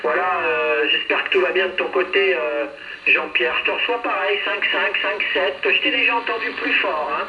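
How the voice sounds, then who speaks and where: A man's voice: high-pitched, fairly even intonation, normal speed. A man talking casually and hesitantly over two-way radio, in a chatty, friendly way. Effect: two-way radio transmission. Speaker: amateur radio operator. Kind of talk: casual radio contact chat. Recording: roomy, distant, dull noisy radio transmission.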